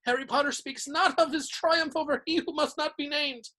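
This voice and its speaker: A woman reading a story aloud and voicing a small, earnest male house elf in a high-pitched voice, humble and over-polite, calling everyone sir. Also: steady tone, normal speed, sharp tone.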